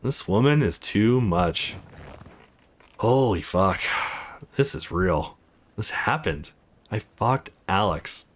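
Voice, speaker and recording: A man's voice: lowish voice, animated, slow, pauses often, voice pushed down. A man voicing a smitten, worn-out young man in a visual novel, musing frankly and playfully to himself. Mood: awestruck. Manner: musing, playful inner monologue.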